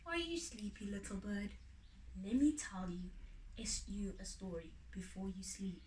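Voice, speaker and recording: A woman voicing a gentle, sad mother bird in a storybook, murmuring slowly and softly at bedtime. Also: medium pitch, expressive, far from the mic, slight background noise, pitched below the performer's own voice, lightly acted, poor audio. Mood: tender, hushed. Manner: slow, hushed bedtime murmur. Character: gentle sad mother bird soothing her small daughter.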